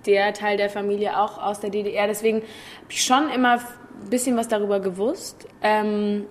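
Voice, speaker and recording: A woman in her twenties from Berlin with a Berlin accent, an actress chatting casually as an interview guest, hesitant, often trailing off softly. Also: medium pitch, level tone, normal speed, a little hiss or hum. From Germany. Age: 20s.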